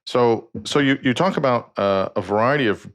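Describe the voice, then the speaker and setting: A man hosting a podcast interview, asking halting questions with many restarts and backchannels. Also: mid-range voice. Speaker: podcast host.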